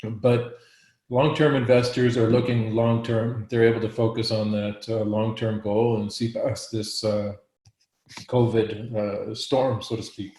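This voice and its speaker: A hoarse man who is losing his voice gives a real estate market update as an investor agent, clearing his throat and restarting his sentences often. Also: on the low side, level tone, unhurried, darkish tone. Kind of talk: market update in a live panel. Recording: roomy echo.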